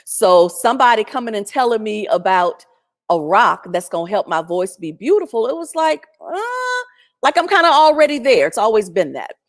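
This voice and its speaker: A woman telling a personal story in a chatty, animated way, speaking over a video call in a strong, loud voice. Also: on the high side, normal speed. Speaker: featured guest. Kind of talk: storytelling testimony.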